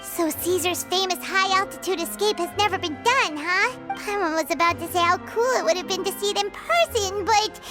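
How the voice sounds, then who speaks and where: A woman voicing a tiny, childlike, curious fairy companion with a squeaky voice in a video game. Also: normal speed, few pauses, music in the background. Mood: curious, chatty. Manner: refers to self in third person, naive exclamations.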